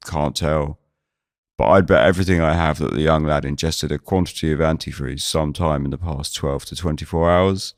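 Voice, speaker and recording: A man's voice: very deep voice, no echo, studio quality. A man playing a fussy, verbose doctor in an audio drama, speaking in a clinical, pedantic, hedging manner. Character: fussy, verbose male small-town doctor.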